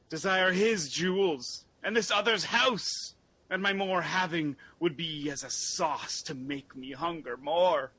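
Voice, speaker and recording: A man playing an anguished, fervent young exiled prince in a Shakespearean radio drama, with husky, halting, theatrical delivery. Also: fairly high pitch, pushed and bright, exaggerated voice, poor audio.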